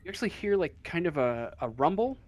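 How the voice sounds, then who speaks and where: A man narrating a tabletop role-playing game as game master, in halting, hesitant fragments. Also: a little high, big pitch swings, darkish tone, no echo.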